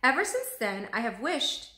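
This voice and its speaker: A woman's voice: a little high, big pitch swings, moderate pace, pushed and bright, voice pushed up. A woman voicing an effusive, grandly eloquent male genius artist in a storybook read-aloud. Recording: roomy echo.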